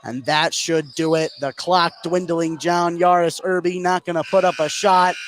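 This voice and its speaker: A man's voice: a little high, steady tone, normal speed, background noise. An American man giving live play-by-play commentary as a basketball announcer.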